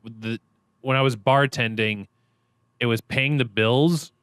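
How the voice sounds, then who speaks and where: Young man, upbeat podcast guest, jokey, crisp clear voice, medium pitch. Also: steady tone, slow, a bit bright, no room sound. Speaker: podcast guest, streamer and actor. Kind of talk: casual interview chat.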